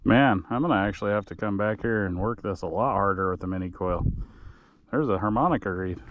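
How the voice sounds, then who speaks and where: A man hosting a metal detecting video, chatting casually and getting excited over each find in a grassy field. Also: sits low. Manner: upbeat, easily excited, thinking aloud. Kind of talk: casual narration while digging. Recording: outdoor recording with clear background noise.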